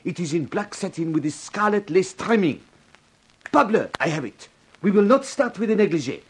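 An actor playing an excitable, theatrical French designer with a thick French accent in a 1950s radio drama. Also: male voice, high voice, normal speed, a little hiss or hum. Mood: excited, proud.

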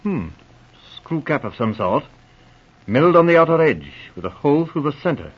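An actor playing a cautious, diplomatic senior police officer in a 1940s radio drama, measured and authoritative. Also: male voice, fairly high pitch, very animated, slightly rough. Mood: businesslike. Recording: muffled old radio recording.

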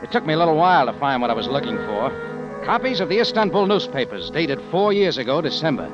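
An actor playing a tough, wry American adventurer with a terse hard-boiled delivery, in 1940s radio drama style, on a dull old recording. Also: male voice, on the high side, very animated, fast, music in the background. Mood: wry narration. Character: tough, wry American adventurer narrating his own case.

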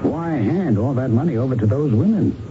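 An actor playing a confident American investigator in a radio drama, deliberate and smugly accusing. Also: male voice, mid-range voice, expressive, close to an everyday voice. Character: confident male American investigator confronting a captured criminal. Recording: muffled, noisy vintage radio broadcast.

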